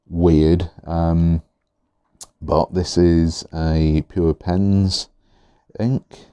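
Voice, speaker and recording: A man reviewing fountain pens in an easygoing, chatty way, a little hoarse, with fillers and repeated words. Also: extremely low pitch, mellow, dry recording. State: slightly hoarse. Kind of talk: relaxed product review. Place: indoors at a desk. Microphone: overhead camera on the hands, speaker not in frame.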